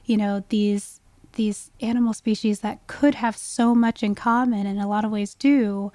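A woman's voice: mid-range voice, steady tone, measured pace, mellow, dry recording. A woman speaking as a guest expert in a conversational interview, explaining with enthusiasm. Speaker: guest expert, wildlife ecologist.

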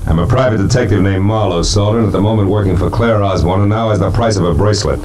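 An actor playing a cool, wisecracking American private detective in 1950 radio noir, terse, wry and slangy. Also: male voice, fairly low pitch, fast, a little mellow, slight background noise, close to an everyday voice. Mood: businesslike. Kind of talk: 1950 radio noir acting and first-person narration. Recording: old radio broadcast with musical backing.

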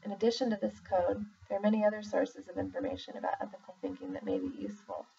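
A woman reading a formal document aloud in a measured, deliberate way, pausing between phrases. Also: medium pitch, sing-song, creaky, mellow. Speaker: reader, social worker in exam preparation. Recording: muffled, distant mic with faint background noise.

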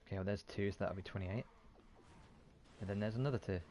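A man's voice: fairly low pitch, fast, darkish tone, slight background noise. A man giving casual gameplay commentary as a streamer, half muttering to himself in a self-deprecating way. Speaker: gaming streamer. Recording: faint game sound behind voice.

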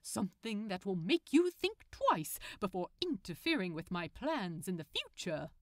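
A woman's voice: medium pitch, big pitch swings, normal speed, no room sound, clean studio sound, lightly acted. A woman voicing a prim, politely smug and fussy male supervillain in a fan fiction audiobook, with formal, pompous, articulate speech. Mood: boastful.

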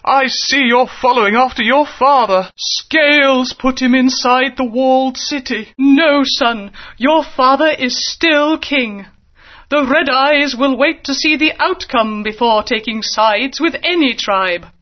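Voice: sits high, measured pace. A caring dinosaur queen. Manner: gentle and protective. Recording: dull lo-fi game audio.

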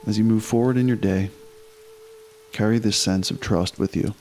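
A man leading a guided meditation, giving slow, unhurried instructions with long pauses. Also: lowish voice, fairly even intonation, darkish tone, a little hiss or hum.

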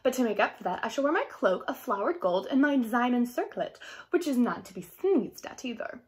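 Storybook stepsister played by a woman reader: young, female, pompous, mocking, animated delivery. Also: a little high, brisk, close to an everyday voice. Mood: boastful. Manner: pompous, teasing. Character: haughty, vain young stepsisters, mocking and self-important.

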